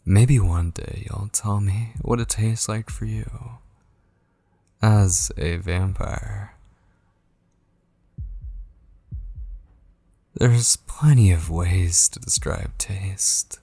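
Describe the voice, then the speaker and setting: A man playing a possessive, obsessed kidnapper in an intimate ASMR roleplay, coaxing with menacing tenderness in a breathy voice that drifts toward whispers. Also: fairly low pitch, mellow, no room sound, exaggerated voice. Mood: musing, patient. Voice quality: breathy, husky. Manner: slow, coaxing, menacingly tender, lingering on phrases. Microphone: close studio microphone.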